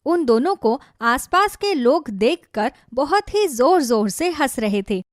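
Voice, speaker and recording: A woman's voice: high-pitched, sing-song, slow, dry recording, studio quality. A woman reading scripted narration for a cartoon story.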